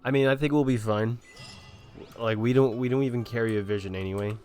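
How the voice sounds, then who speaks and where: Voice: mid-range voice, fairly even intonation, fairly quick, a little mellow, soft background music. A game streamer giving casual reacting commentary over gameplay.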